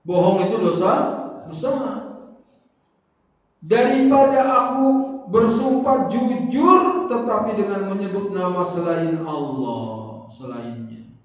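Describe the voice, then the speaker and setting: A male preacher with a fairly high voice gives a lively religious lesson, jumping from forceful stresses to soft asides. He is heard from well back in a big mosque hall, with strong echo and long reverb. The sound is dull and lo-fi. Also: slow pace, long pauses. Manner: lively, swings between loud stresses and quiet asides, acts out everyday quotes. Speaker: Islamic preacher. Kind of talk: sermon-style religious lesson. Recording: echoey hall from far off, dull lo-fi.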